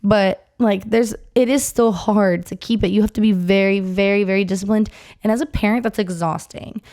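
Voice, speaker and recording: A young adult woman co-hosting a casual podcast, talking in an emphatic, animated way with plenty of fillers. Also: medium pitch, moderate pace. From United States. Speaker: podcast co-host. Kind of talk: casual chat.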